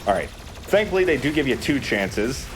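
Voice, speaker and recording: A man's voice: mid-range voice, sing-song, normal speed, background noise. A man hosting a let's play, chatting casually and explaining the game with dry sarcasm. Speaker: let's play host and player. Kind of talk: casual gaming commentary. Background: video game ambience.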